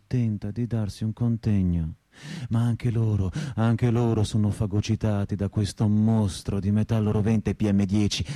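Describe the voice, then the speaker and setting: A man's voice: fairly low pitch, mellow, natural voice. An actor playing a brooding, melancholy man in a radio monologue, lyrical and wry, with colloquial turns. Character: melancholy, brooding man stuck in traffic, wryly poetic. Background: music.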